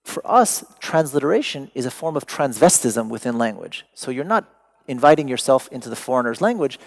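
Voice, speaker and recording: A man's voice: medium pitch, big pitch swings, a little fast, creaky. A man, a native Persian speaker, giving a talk to an audience, wry and digressive with joking asides. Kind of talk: lecture to an audience.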